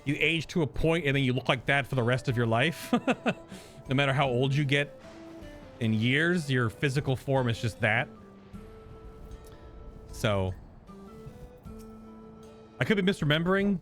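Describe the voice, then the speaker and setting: A man's voice: medium pitch, expressive, a little slow, pauses often, fairly bright tone. A man giving casual live reaction commentary as a game streamer, rambling and thinking aloud. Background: game music.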